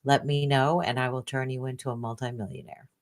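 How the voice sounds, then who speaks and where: A woman's voice: extremely low pitch, fairly even intonation, a little fast. A woman financial coach introducing herself on a panel in a bold, playful manner.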